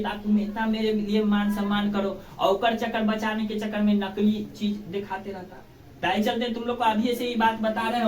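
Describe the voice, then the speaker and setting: A woman with a Bihari accent teaching an informal school lesson, colloquial and exhorting, often asking rhetorical questions and checking that pupils follow. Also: mid-range voice. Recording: echoey room, distant microphone.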